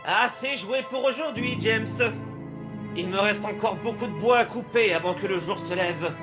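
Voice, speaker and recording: An actor playing a weary, ominous woodcutter who speaks in riddles in a French audio drama. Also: male voice, high-pitched, moderate pace, lightly acted. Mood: solemn, cryptic. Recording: clear music behind.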